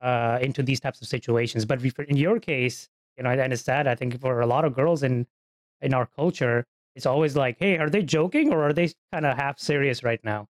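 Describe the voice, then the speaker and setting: A man's voice: mid-range voice, a little fast. A man hosting an interview podcast. He reads a scripted intro, then asks friendly conversational questions. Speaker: podcast host.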